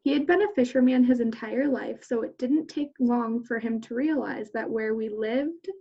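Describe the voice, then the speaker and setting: A woman's voice: on the high side, moderate pace, gravelly, slightly rough, muffled recording. A woman teacher reading a picture book aloud to children in an instructional way.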